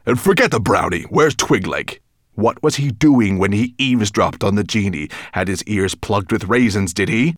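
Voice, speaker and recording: A man's voice: on the low side, lively intonation, normal speed, no echo, studio quality. A man voicing a huge, bad-tempered monster, imperious and menacing, with a snarling growl. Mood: irritated, sarcastic. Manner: imperious, sarcastic, explosive. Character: huge, tyrannical male dragon-like monster, furious, menacing, contemptuous.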